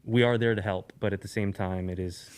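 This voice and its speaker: A man from Eastern Europe chats as a podcast guest. His voice sits a little low, and he rambles easily, circling back with fillers and restarts. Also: steady tone, a little fast, clear, resonant tone. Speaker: guest, sneaker YouTuber. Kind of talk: casual podcast conversation.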